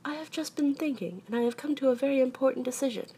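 Woman voicing an earnest, raised-pitch little storybook bear. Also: dark tone, breathy edge, exaggerated voice. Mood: earnest, self-important. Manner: deliberate, earnest, pondering. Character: earnest, anxious, self-important small male toy bear. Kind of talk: children's storybook character voice.

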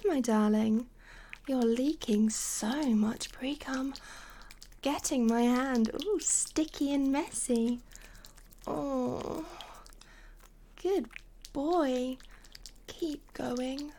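A woman playing a doting, seductive lover in an intimate adult audio roleplay, breathy and coaxing, often slipping into whispers. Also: high-pitched, mellow, slight background noise.

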